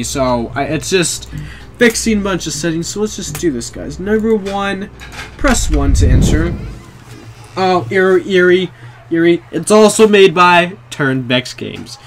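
A young man in a small bedroom, casually commenting on a horror game for viewers, jumpy and excitable, swearing often. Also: a little high. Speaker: gaming video creator.

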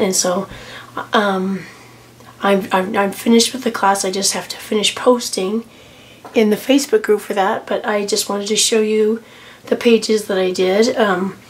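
Hoarse woman chatting through a journal walkthrough. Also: medium pitch, slight background noise. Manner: chatty, many ums and restarts. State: hoarse from a cold. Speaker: journaling vlogger. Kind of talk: casual tutorial walkthrough. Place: home desk, camera over a tablet.

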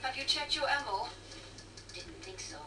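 A woman playing a brisk, wry, teasing trader in a video game. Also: sits high, expressive, recorded from a distance. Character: brisk, wry French-speaking woman trader guiding fighters. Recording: noisy, echoey game audio from TV speaker.

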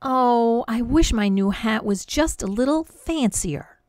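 A woman's voice: fairly high pitch, expressive, slow, darkish tone, dry recording. A woman voicing a little girl who longs for a fancy hat, speaking breathlessly and wistfully.

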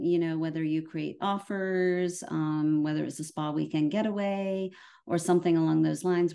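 A woman with an Irish accent talks through a product demo on a video call. Her voice sits low and mellow, and her delivery is loose and casual, full of fillers and restarted phrases. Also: gravelly. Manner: hesitant, many fillers and restarts. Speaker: webinar presenter.

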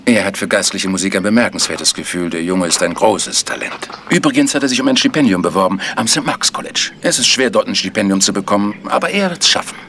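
A proud, protective organ teacher in a radio mystery. He speaks earnestly and measuredly in a low voice, with faint noise on the recording. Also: lively intonation, quick pace, hardly any pauses, a bit bright. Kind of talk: German radio mystery acting.

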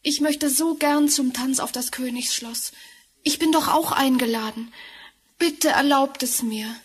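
A woman playing a gentle, sorrowful young stepdaughter in a fairy-tale audio drama, meek and earnest. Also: sits high, unhurried, husky. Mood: pleading. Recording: music behind, vinyl rip.

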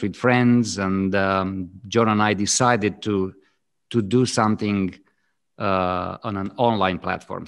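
Low-voiced man with a Romanian accent. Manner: hesitant, many ums and pauses. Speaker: co-host, photographer. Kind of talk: casual video call chat. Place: home studio with framed art on walls. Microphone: studio mic on boom arm.

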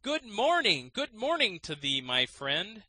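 Man putting on a high, grandiose storybook trickster. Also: huge pitch swings, sharp tone, no room sound, full character voice. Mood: grand, rapturous. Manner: declamatory, slow and grandiose archaic speech. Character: trapped man feigning lofty, boastful wisdom. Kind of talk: storybook character reading.

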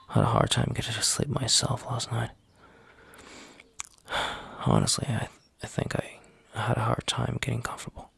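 A man playing a tender, anxious young boyfriend in a roleplay ASMR audio, husky and halting in his speech. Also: mid-range voice, no echo, heavily acted. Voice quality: husky, gravelly. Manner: halting, hesitant, soft-spoken, sighing.

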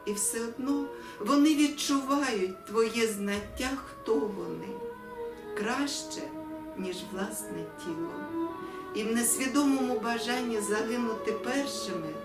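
Ukrainian woman reading poetry real slow and solemn, higher voice, bit far from the mic, music behind. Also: animated. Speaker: poetry reader. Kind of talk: solemn poetry recitation with spoken introduction. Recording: echoey room, distant mic, music behind.

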